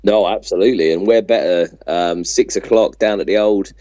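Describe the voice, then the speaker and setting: A British man with a low, dark, relaxed voice co-hosts a casual sports podcast. He talks in a matey, colloquial way. His microphone sounds a little dull and lo-fi. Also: moderate pace, no room sound. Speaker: podcast co-host.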